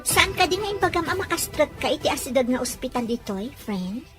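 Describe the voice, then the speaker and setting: A woman's voice: sits high, very animated, a little fast, music in the background. A woman playing a defiant, plaintive young woman in a domestic drama. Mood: concerned. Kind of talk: Ilocano drama acting.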